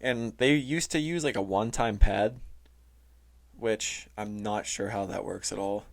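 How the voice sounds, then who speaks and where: A man explaining things casually as a podcast host, halting and informal, often saying "like". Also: lowish voice, creaky. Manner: informal, halting, heavy use of "like". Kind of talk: casual explainer talk reading from notes. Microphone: close, touchy microphone.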